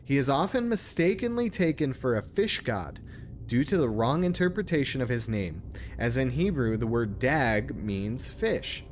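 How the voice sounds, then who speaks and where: A man's voice: mid-range voice, big pitch swings, normal speed, slight background noise. A man streaming a game, commenting casually and reading text aloud in an informal, sometimes stumbling way.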